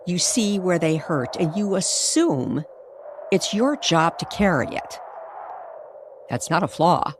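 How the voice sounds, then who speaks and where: A woman narrating a scripted, old-time radio style mystery story with deliberate, suspenseful phrasing. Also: fairly low pitch, lively intonation, background noise. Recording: music playing behind the voice. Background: music.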